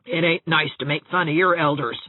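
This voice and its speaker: Woman putting on a crabby, husky older countrywoman. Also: deep voice, steady tone, brisk, dry recording, phone-quality sound. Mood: rebuking. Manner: folksy, scolding. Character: blunt, crabby older countrywoman, easily affronted.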